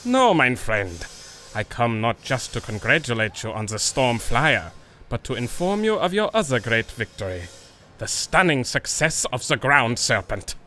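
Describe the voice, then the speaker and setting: An actor playing a proud, confident German baron and war officer, suave and commanding with a German accent in a radio drama. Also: male voice, medium pitch, big pitch swings, measured pace, pushed and bright, soft background music. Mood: smug, triumphant. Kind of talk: radio adventure drama acting.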